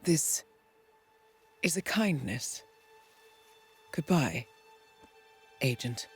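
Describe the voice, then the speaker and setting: An actress playing a composed, scheming female handler in a game cutscene, with measured, controlled delivery. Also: low-pitched, lively intonation, breathy edge, full character voice. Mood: sorrowful. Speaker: video game character. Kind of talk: cinematic game dialogue. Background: game soundtrack music.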